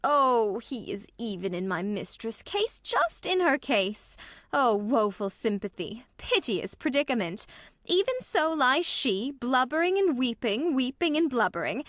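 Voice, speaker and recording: Woman playing high, wailing, fussy nurse. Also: sing-song, unhurried, fairly bright tone, no room sound, studio quality. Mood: fretful, scolding. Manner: wailing, repetitive, melodramatic. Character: fussy, woeful female nurse serving a young lady. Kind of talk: classical stage tragedy in audio.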